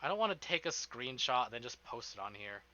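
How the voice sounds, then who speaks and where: A man's voice: mid-range voice, big pitch swings, fairly quick, sharp tone, highs cut off. A man streaming live, chatting casually with his viewers in an informal, rambling way and swearing freely.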